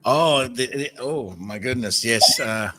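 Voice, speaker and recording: A man hosting a spiritual call-in show, greeting callers as brother and answering in a searching, halting way. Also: medium pitch, a little hiss or hum. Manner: warm address, calls people brother and mother. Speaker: host.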